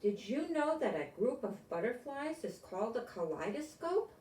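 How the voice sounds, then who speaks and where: A chatty lady butterfly from a picture book, voiced by a woman reader. She speaks a little high and sociably, as if sharing important facts. The recording is echoey and a bit distant. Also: big pitch swings, normal speed, a little mellow, a little hiss or hum. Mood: cheerful, informative. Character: chatty female butterfly full of fun facts. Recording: echoey room, distant mic.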